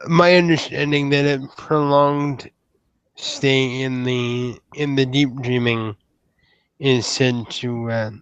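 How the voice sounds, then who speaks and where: Man playing a halting, very slow-spoken young troll. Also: medium pitch, fairly even intonation, smooth, no echo, close to an everyday voice, rough recording. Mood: earnest, unsure. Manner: halting, stammering, formal wording. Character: hesitant, courteous young newly made troll, earnest would-be knight. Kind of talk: live roleplay improvisation.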